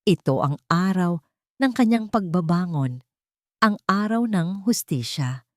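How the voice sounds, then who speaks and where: A woman's voice: lowish voice, expressive, unhurried, no echo, clean studio sound. A woman narrating a scripted dramatic romance story.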